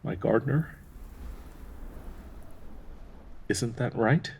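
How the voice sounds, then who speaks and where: An actor playing a devoted, grieving male dryad in an intimate audio roleplay, speaking tenderly and haltingly with a husky, breathy voice. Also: medium pitch, a little mellow, a little hiss or hum, close to an everyday voice. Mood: desperate hope. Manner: slow, halting, tender pleading with sighs.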